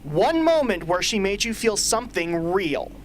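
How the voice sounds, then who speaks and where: A woman playing a brisk, sardonic rebel strategist in an amateur radio drama, speaking emphatically. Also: medium pitch, big pitch swings, background noise, lightly acted. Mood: brisk, commanding.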